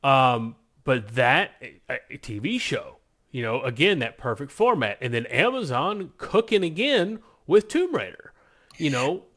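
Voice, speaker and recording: Bright, forceful American man chatting. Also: mid-range voice, very animated, a little slow. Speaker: podcast co-host. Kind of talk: casual enthusiastic pop-culture podcast chat.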